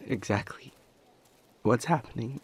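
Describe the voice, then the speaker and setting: Man playing a nervous, breathy, stammering young guy. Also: mid-range voice, unhurried. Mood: nervous, hesitant. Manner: heavy nervous stammer, hesitant. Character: anxious, stammering, kind young male disaster helper. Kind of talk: audio roleplay acting.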